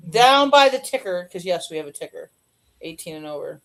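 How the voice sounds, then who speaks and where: A woman co-hosting a casual live chat, tossing in quick joking asides. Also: lowish voice, big pitch swings. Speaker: co-host.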